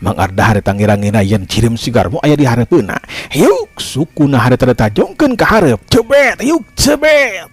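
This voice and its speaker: A man's voice: mid-range voice, slightly rough, dry recording. A man with a Sundanese accent narrating a folk tale as a theatrical storyteller, using dramatic vocal effects.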